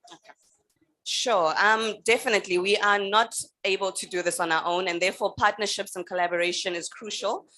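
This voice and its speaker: A woman answering on a panel, measured and steady with frequent pauses and fillers. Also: medium pitch. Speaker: energy utility representative on a panel.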